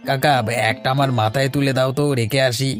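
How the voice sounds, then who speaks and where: A man's voice: medium pitch, steady tone, fairly quick, natural voice. An easygoing younger village farmhand acted by a man in a cartoon. He talks as a familiar nephew would to his elders. Faint music plays behind. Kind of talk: cartoon voice acting.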